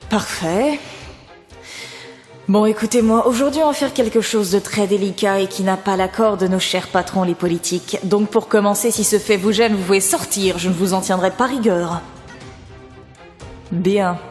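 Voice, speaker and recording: A woman's voice: medium pitch, normal speed, a little mellow, natural voice. A woman playing a stern, foul-mouthed government lab boss in an audio drama, curt and commanding. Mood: authoritative, businesslike. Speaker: lab director. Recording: long hall reverb, music underneath. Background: music.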